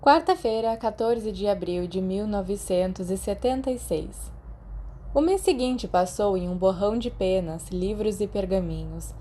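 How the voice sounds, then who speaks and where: Woman, Brazilian accent, audiobook narrator, soft dark timbre, mid-range pitch, scripted storytelling. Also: a little slow, a little hiss or hum. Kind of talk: scripted fan fiction narration.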